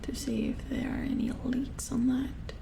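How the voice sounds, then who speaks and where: Woman acting as female robot engineer, ASMR roleplay, slow hushed whisper, focused, mildly worried. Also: high-pitched, flat delivery. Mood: worried, puzzled. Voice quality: soft, breathy whisper.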